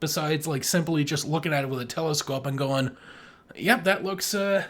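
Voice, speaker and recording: A man with a slightly high-pitched voice co-hosts a casual film podcast. He talks in loose, rambling runs, with a joking tone and some swearing. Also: moderate pace, fairly bright tone. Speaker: podcast co-host.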